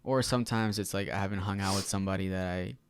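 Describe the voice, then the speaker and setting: A man's voice: sits low, steady tone, fast talker, smooth, no echo. A young man, a native Spanish speaker, co-hosting a podcast in informal, slangy, casual conversation. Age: young adult. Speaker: podcast co-host. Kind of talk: casual chat.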